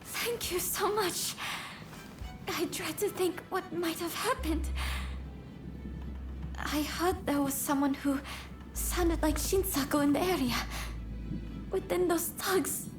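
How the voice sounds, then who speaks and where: A gentle young samurai-era wife with a high, soft voice. She speaks slowly and politely, earnest and worried, in a dramatic video game style, with faint music underneath. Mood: anxious, grateful.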